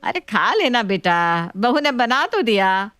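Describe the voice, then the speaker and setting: A woman's voice: fairly high pitch, sing-song, slow, fairly bright tone, smooth, dry recording, full character voice. A woman playing a mild, indulgent older mother-in-law soothing the family in a story. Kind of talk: story dialogue.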